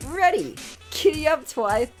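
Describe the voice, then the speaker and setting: A woman's voice: high voice, very animated, unhurried, music in the background. A woman voicing an eager, cheeky young boy dragon sidekick in a parody cartoon, perky and mock-heroic. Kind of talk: fan parody cartoon dub.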